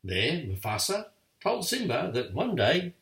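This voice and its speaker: An older grandfatherly man reading a bedtime story with a lively, expressive rise and fall. His voice sounds roomy and echoey, as if he sits well back from the microphone. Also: on the high side, measured pace, creaky. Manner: lively, expressive read-aloud. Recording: echoey room, far from mic.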